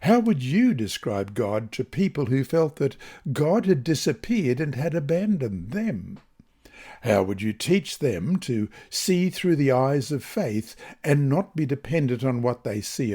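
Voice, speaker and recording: A man from Australia reading a scripted Bible study lesson aloud in a measured, deliberate, expressive way. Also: medium pitch, slightly rough.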